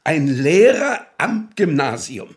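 A man's voice: on the high side, big pitch swings, slow. An actor playing a condescending, skeptical state prosecutor in a radio drama, formal, pompous and incredulous with his subordinate.

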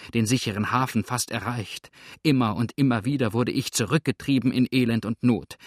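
A man's voice: medium pitch, dry recording, studio quality, natural voice. A man voicing a hunted, ruthless outlaw in an audiobook, grim and threatening, with a sneering edge. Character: hunted, ruthless male fugitive outlaw, exhausted and menacing. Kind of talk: audiobook character acting.

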